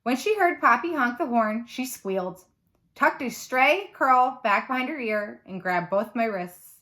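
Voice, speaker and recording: A woman narrating a children's novel aloud like a teacher, with expressive storytelling. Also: fairly high pitch, measured pace.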